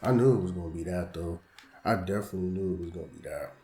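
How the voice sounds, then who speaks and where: A man's voice: low voice, quick pace, dark tone. A young man with a strained, fading voice, giving casual slangy commentary over a football video game and getting frustrated with the plays. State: losing his voice. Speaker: gaming YouTuber. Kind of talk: gameplay commentary. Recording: roomy echo with background music.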